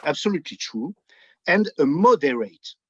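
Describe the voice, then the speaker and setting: A man's voice: a little high, big pitch swings, slightly rough, dry recording. A man with a French accent, a native French speaker, explaining politics conversationally as a guest and searching for words in English. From France. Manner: halting, searching for words in a second language. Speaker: interview guest, editor. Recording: dull, band-limited audio.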